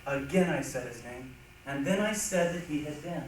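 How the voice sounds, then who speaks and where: Theatrical reader, lively varied pitch, emphatic delivery, distant echoey hall recording, faint noise. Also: normal speed. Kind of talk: theatrical recitation of literary texts.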